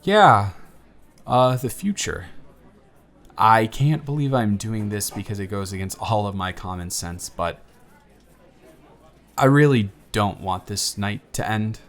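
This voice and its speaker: A man's voice: lowish voice, slow pace, long pauses. A man playing a giddy, smitten young man on a blind date, bantering playfully in a one-sided romantic audio roleplay. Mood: tender, nervous. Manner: animated, bantering, playful storytelling with stammers.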